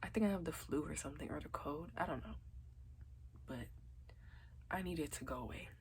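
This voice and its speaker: A woman's voice: sits low, fairly quick, very noisy. A young woman with a stuffy, congested nose from a cold, giving chatty, reassuring advice in a casual vlog. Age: young adult. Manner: chatty, reassuring, many likes and literallys. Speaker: vlogger.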